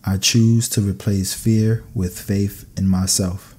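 A man narrating scripted sleep meditation affirmations in a calm, soothing, measured way. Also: fairly low pitch, breathy edge.

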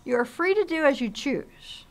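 Radio drama role: a woman as composed female rebel leader, fairly high, formal, measured, with wide inflection. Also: expressive. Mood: solemn warning. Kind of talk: amateur radio drama acting.

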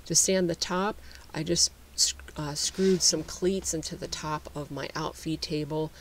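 A man narrating a woodworking how-to video in a relaxed, explanatory way, often restarting his sentences. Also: fairly high pitch, measured pace, slight background noise. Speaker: woodworking channel host.

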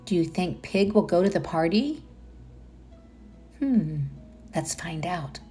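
A woman's voice: lowish voice, expressive, takes it slowly, mellow, soft background music. A woman reading aloud a children's story, expressively and addressing young listeners. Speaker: storybook reader. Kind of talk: children's read-aloud narration.

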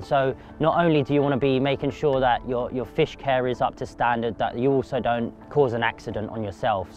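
A man's voice: medium pitch, darkish tone. A young man giving plain-spoken, matter-of-fact instructional talk to camera as an angler, recorded outdoors. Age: young adult. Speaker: angler presenting a tackle tutorial. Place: outdoors by a riverside path. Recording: music behind the voice. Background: music.

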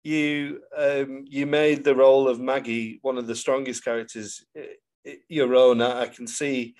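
Male podcast host, medium pitch, casual interview chat, hesitant phrasing. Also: little pitch movement, a little slow, a little mellow.

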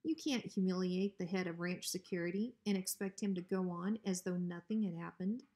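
A woman's voice: fairly low pitch, normal speed, warm tone. A woman narrating a children's storybook expressively, as when reading aloud to pupils. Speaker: school principal reading aloud.

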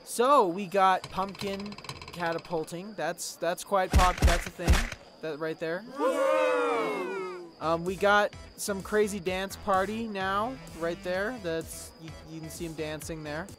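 A woman's voice: lowish voice, music in the background. Woman voicing an earnest, flustered cartoon unicorn pony. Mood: awkwardly chipper. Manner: halting, stammering, awkwardly chipper. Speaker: voice actor in a parody dub. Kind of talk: conversational animated comedy acting.

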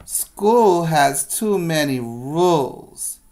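A man's voice: a little high, lively intonation, drawn-out words, mellow, pitched above the performer's own voice. A man voicing a frustrated little kindergarten boy in a storybook, exclaiming and complaining.

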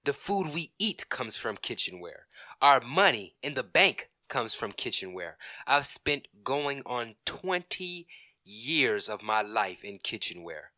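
Man acting an indignant, animated husband. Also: medium pitch, takes it slowly, no room sound, clean studio sound, full character voice. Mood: outraged. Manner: blustering, sarcastic, emphatic. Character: indignant, stubborn middle-aged husband, wounded pride. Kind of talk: old-time radio domestic comedy acting.

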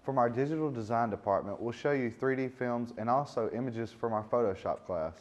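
Male co-host with a medium-pitched voice. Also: a little fast. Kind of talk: scripted presenting.

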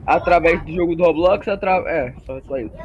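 A young man chatting with friends over voice chat while gaming, excitable and swearing, trailing off mid-sentence. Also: fairly high pitch, brisk, muffled recording, a loud crowd. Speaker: gamer playing with friends. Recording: noisy voice-chat mic.

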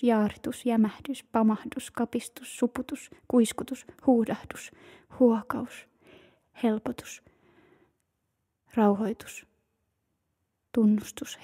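A woman whispering a slow, rhythmic chant of single words in a breathy, hushed voice. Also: fairly high pitch. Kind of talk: whispered incantation-like word chanting.

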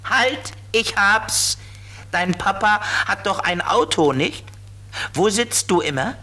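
A man voicing a grumbling flying companion with a husky, expressive voice in a children's radio play. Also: high-pitched, slow, heavily acted. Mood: patiently explaining.

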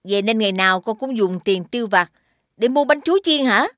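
A woman's voice: a little high, expressive, brisk, a bit bright, no echo, clean studio sound. A woman voicing a caring, sensible mother in a children's cartoon, advising in a southern Vietnamese accent. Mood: questioning. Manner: questioning, advising.

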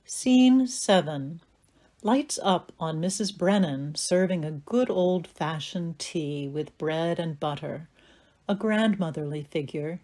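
A woman's voice: low voice, dark tone. A woman giving scripted narration, reading out stage directions for an audio play.

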